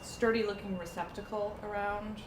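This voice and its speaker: A woman's voice: medium pitch, steady tone, moderate pace. A woman playing a tabletop role-playing game, talking casually as she describes what her character does. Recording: noisy, echoey room.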